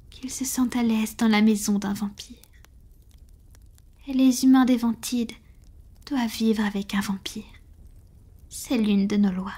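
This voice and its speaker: A woman plays a doting female vampire in an intimate roleplay audio. Her voice is fairly high and very breathy, mostly whispered. She speaks slowly and hesitantly, full of affection, as if to a lover close by. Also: a little mellow. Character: tender, lovestruck female vampire, devoted and doting.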